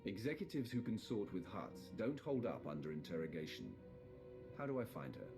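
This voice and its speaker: Imperious Sith warrior, low menacing voice, animated pitch, stern, game cinematic, faint music behind. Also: male voice, moderate pace. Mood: cold, demanding. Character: menacing, commanding male Sith lord demanding obedience. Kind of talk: cinematic video game dialogue.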